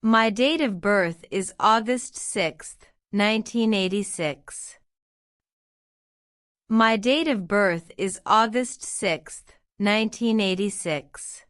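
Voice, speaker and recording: A woman reading interview practice questions and answers aloud, saying each line twice in a slow, deliberate drill. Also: mid-range voice, fairly bright tone, no echo, clean studio sound. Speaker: citizenship test practice narrator.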